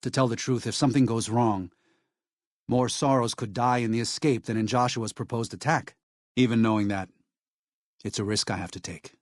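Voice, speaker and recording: A man acts out a devout missionary from a video game, a gentle man who worries over his flock. His voice sits at a medium pitch, earnest and soft-spoken, with hesitant, pleading phrasing. The sound is close and dry, with no room around it. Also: steady tone, normal speed, no echo, close to an everyday voice. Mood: resolute, worried. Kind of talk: video game character dialogue.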